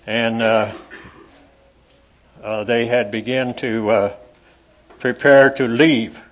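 A man preaching to a congregation in an evening meeting, reading scripture aloud with rising emphasis and talking with halting fillers in between. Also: mid-range voice, fairly even intonation, slight background noise. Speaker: lay preacher. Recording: dull lo-fi recording.

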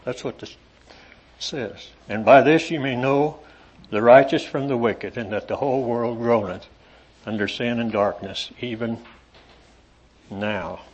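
A man preaching to a congregation in an evening meeting, reading scripture aloud with rising emphasis and talking with halting fillers in between. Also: mid-range voice. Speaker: lay preacher. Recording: dull lo-fi recording.

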